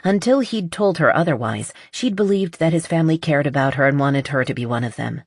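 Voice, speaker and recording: Low-voiced woman narrating an audiobook. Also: lively intonation, fairly quick, no echo, clean studio sound. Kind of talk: scripted fiction narration.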